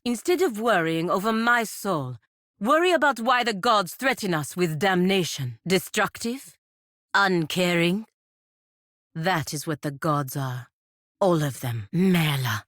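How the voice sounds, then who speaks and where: Deep-voiced, proud, stern knight. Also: female voice, fairly bright tone, no echo, clean studio sound. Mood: sharp, scornful. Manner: formal, measured, grave. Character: proud, duty-bound godlike woman knight, stern and skeptical. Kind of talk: video game voice acting.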